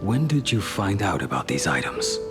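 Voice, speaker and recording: A man playing a stoic, world-weary ancient swordsman in a video game, grave and terse, his phrases often sinking into a breathy hush. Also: low-pitched, very animated, moderate pace, over loud music. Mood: stoic, reserved. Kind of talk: dramatic video game voice acting.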